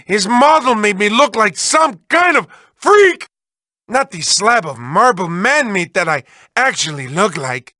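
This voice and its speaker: A man's voice: extremely high pitch, pushed and bright, no room sound, studio quality, full character voice. A man voicing a manic, lovelorn, whiny mad-scientist villain in a video game, with theatrical, exaggerated delivery that swings between cooing and wailing. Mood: snide. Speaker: video game villain reading developer messages. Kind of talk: exaggerated comic cartoon voice acting.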